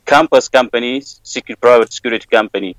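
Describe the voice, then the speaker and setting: A man with an Afghan accent, a guest in an interview, answering slowly and haltingly as he searches for English words. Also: mid-range voice, no room sound, low-quality recording. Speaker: security worker, guest. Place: Kabul.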